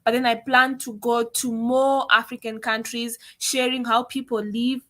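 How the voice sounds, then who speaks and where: Young zimbabwean woman, shona speaker, bright higher voice, earnest and measured. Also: level tone. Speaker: guest content creator. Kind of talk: livestream interview.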